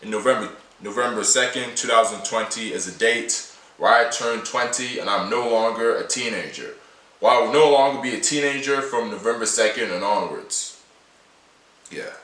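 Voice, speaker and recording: A nineteen-year-old man talks to camera in a casual, rambling way, circling back to the same points again and again. His voice is bright and sits at a middle pitch. He sounds a little distant from the mic, and the small room gives an echoey ring. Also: moderate pace. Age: late teens. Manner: repetitive, rambling, restates points often. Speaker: vlogger showing his everyday carry.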